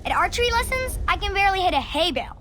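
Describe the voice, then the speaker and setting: High-voiced curious, cheeky little girl. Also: sing-song, racing, sharp tone, slight background noise, full character voice. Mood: playful, curious. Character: young girl, curious, cheeky granddaughter listening to a story. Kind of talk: animated adventure game acting.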